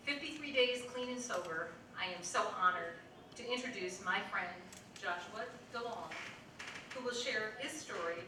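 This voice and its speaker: A woman hosting an event, giving a heartfelt, formal introduction of the main speaker. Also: medium pitch. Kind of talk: prepared introduction speech. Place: event hall with audience. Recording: echoey hall from a distance, background noise.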